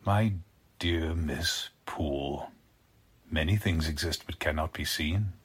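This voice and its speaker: An actor playing a deranged, boastful scientist in 1940s radio drama style, pompous and theatrical, with a husky, breathy voice. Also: male voice, a bass voice, heavily acted. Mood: lecturing, ominous. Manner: theatrical, pompous, savoring words slowly. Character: deranged, boastful male mad scientist, grandiose and sinister.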